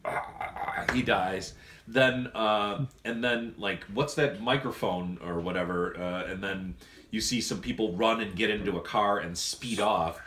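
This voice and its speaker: Low-voiced man brainstorming in an echoey room. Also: unhurried. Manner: animated storyteller, swears casually. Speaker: writer at an audio comedy script session. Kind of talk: casual brainstorming, acting out dialogue. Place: indoor room, writing session. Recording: echoey room with faint noise.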